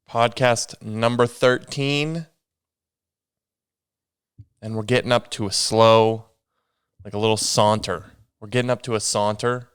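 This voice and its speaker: A man's voice: lowish voice, fairly even intonation, unhurried, long pauses, fairly bright tone, clear, resonant tone, dry recording, studio quality. A young man hosting a solo podcast, rambling casually with a sniffly, stuffy nose. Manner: rambling, self-deprecating, meandering restarts. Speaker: podcast host.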